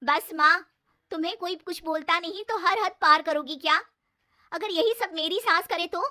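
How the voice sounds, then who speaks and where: A woman's voice: very high-pitched, moderate pace, sharp tone, pitched above the performer's own voice. A woman voicing an anxious, earnest young bride-to-be in a moral story cartoon. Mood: indignant. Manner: earnest, pleading. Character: timid young sister-in-law, anxious, earnest, outspoken.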